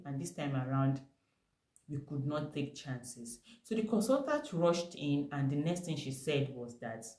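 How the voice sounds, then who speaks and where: A young woman with a Nigerian accent telling a personal story to camera, animated and emphatic. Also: sits low. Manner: animated, emphatic retelling with bursts of laughter. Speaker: vlogger. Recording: echoey room.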